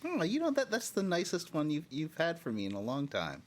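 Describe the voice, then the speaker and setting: A co-host on a gaming podcast chatting with deadpan jokes. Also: medium pitch, fairly quick. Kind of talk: casual chat.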